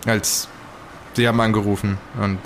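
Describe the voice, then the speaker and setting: A man playing a shaken survivor of an island horror, haltingly searching for words in an improvised audio drama. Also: fairly low pitch, flat delivery, background noise, close to an everyday voice. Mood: resigned. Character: shaken male survivor of monsters on a storm-bound island.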